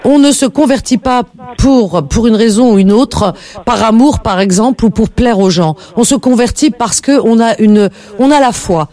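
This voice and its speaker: A woman's voice: a little high, moderate pace, hardly any pauses, mellow. A woman hosting a call-in radio show, lecturing her caller in an emphatic, indignant way.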